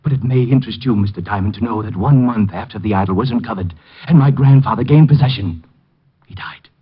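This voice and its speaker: An actor playing a jumpy, frightened little man in a nightshirt, nervous and excitable in a 1950s radio drama, on a muffled old recording with music. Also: mid-range voice, fast, husky, close to an everyday voice. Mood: ominous. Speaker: client.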